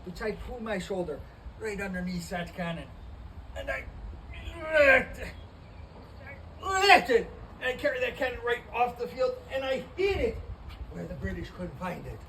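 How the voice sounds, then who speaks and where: A man's voice: big pitch swings, normal speed, long pauses, full character voice. A man doing a boastful giant soldier. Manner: theatrical, playful storytelling, swinging from booming to hushed. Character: towering young Portuguese-born soldier, boastful, cheerful. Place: historic park. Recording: distant mic with outdoor ambient noise.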